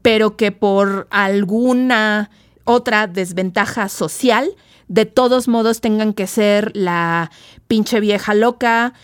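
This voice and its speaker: A woman's voice: mid-range voice, slow pace, clear, resonant tone, no echo, clean studio sound. A Mexican woman hosting a feminist talk show, thoughtful and frank, with some swearing. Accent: Mexican Spanish. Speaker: host. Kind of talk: feminist discussion podcast.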